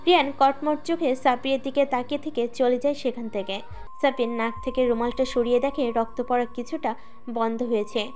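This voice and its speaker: Female story narrator, high voice, scripted dramatic narration with a music bed. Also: fairly even intonation, fairly quick, darkish tone.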